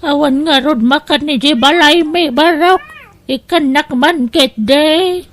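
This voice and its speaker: A woman's voice: sits high, slow pace. A woman playing a hungry, pitiful elderly village woman in an audio drama, with a plaintive, pleading manner. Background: soft background music.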